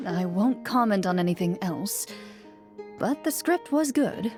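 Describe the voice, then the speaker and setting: An actress playing a composed, stern female monster hunter in a video game, speaking in a measured, formal, unruffled way. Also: medium pitch, animated, music in the background, lightly acted. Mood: cool, analytical.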